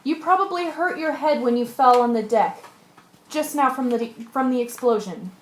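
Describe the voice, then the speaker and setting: A woman acts a ship's mate in an amateur radio play, firm and full of schemes. The voice is high and earnest, taking command of the crew. The room rings with echo. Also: normal speed, slight background noise, natural voice. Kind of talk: amateur radio drama acting. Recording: echoey room.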